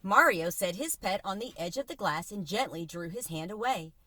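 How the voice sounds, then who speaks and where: Bright, lively woman reading a children's story. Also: mid-range voice, normal speed, no room sound, clean studio sound. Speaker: read-aloud storyteller. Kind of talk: expressive reading of a children's novel.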